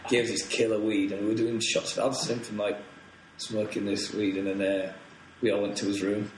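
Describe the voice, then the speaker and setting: A man's voice: on the low side, level tone, fairly quick. A young man, a touring musician, rambling through tour stories on a podcast, laughing and swearing freely. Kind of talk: casual podcast storytelling. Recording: roomy echo, faint noise.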